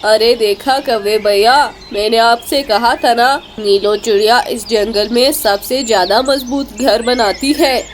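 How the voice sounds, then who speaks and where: A woman's voice: a little high, unhurried, few pauses, a little hiss or hum, close to an everyday voice. A woman voicing an emphatic talking character in a jungle cartoon story, speaking in exclamations. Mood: earnest. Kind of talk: Hindi cartoon story dialogue.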